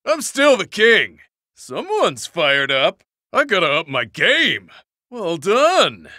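Cocky brawler with wildly swinging pitch. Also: male voice, wildly swooping pitch, slow, pushed and bright, no room sound, studio quality, heavily acted. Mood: cocky, friendly. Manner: brash, slangy short exclamations. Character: cocky, brawny young male brawler, boisterous and friendly. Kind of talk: video game battle voice clips.

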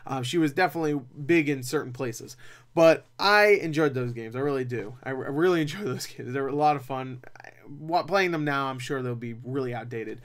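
A young man talking casually as a film reviewer, rambling and animated, with opinionated asides. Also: mid-range voice, brisk. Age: young adult. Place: small cluttered room at home.

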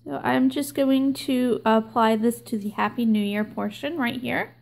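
A young woman hosting her own nail art channel, explaining a stamping tutorial slowly and with many ums. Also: fairly high pitch, gravelly, a little mellow. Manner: slow, filler-heavy explaining with many ums. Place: indoors at a table, hands filmed from above.

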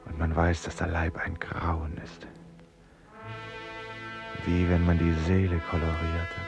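A man's voice: deep voice, monotone, slow pace, creaky, dull audio, music in the background, exaggerated voice. An actor playing a haunted, brooding man in a radio play, musing in fragments and sometimes near a whisper. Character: haunted, brooding male narrator lost in thought. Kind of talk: German radio play inner monologue.

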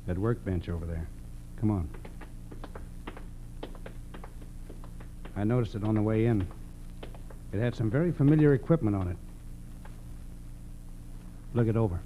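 An American actor playing a brisk, probing male FBI agent, matter-of-fact, in a 1940s radio drama. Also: lowish voice, mellow, natural voice. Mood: observant. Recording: noisy, humming vintage radio recording.

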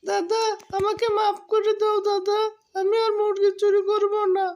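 Performer as young cartoon fox, very high pitch, whiny, pleading, mischievous younger brother. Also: completely flat delivery, a little slow, a little mellow. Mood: pleading, sorry. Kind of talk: cartoon voice acting.

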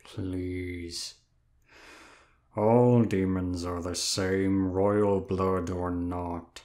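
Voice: sits low, steady tone, very slow, darkish tone. A performer playing a lazy, bitter, exhausted demon of Sloth in an audio drama, speaking in a weary, self-pitying drawl. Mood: grumbling. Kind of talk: audio roleplay drama acting.

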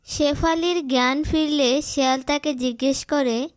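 A woman narrating a cartoon story in a slow, even storytelling manner. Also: high-pitched, dry recording. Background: background music.

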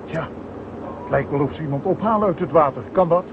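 An actor playing a terse, inquisitive police commissioner asking clipped questions in a radio drama. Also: male voice, on the high side, normal speed, lightly acted. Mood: curious. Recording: tinny, noisy old radio recording.

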